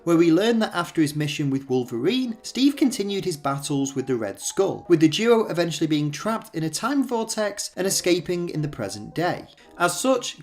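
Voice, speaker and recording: Lively-voiced man narrating a video essay. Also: sits high. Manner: measured, storytelling delivery. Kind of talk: scripted documentary narration.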